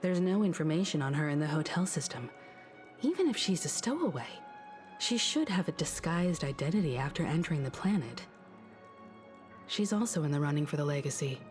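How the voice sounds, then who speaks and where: A woman playing a calm, analytical investigator in a video game, speaking thoughtfully and deliberately. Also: sits low, soft background music. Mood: pensive.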